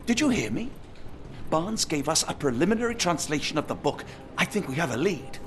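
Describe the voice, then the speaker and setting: An actor playing a loyal, principled Victorian doctor in a video game, British, earnest and formally articulate. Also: male voice, fairly high pitch, very animated, fairly quick, background noise. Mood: earnest, urgent. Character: loyal, principled Victorian male doctor, steadfast companion to detective. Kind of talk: video game cutscene acting. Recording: music behind the voice.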